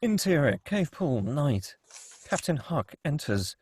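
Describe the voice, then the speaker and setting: A man's voice: fairly high pitch, big pitch swings, takes it slowly, darkish tone, slight background noise. A man reading scripted stage directions as the narrator of a screenplay table read.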